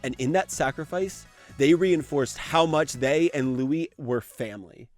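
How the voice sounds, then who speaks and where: A man's voice: a little high, a little slow, darkish tone, soft background music. A young man giving enthusiastic video-essay commentary as a fan, casual and gushing, with some swearing.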